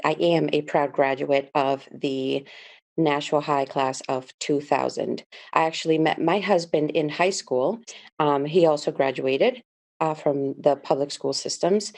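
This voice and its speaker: Low-voiced woman giving a candidate statement. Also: darkish tone. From Dominican Republic. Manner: earnest, with frequent "uh" and "um". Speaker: school board candidate. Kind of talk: opening statement.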